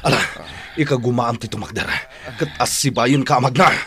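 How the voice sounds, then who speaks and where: An actor playing a protective, devoted older brother on the run, speaking emotionally in a radio drama. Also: male voice, medium pitch, fairly quick, lightly acted. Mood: urgent, encouraging. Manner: pleading, emotional. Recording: noisy radio drama recording with clicks.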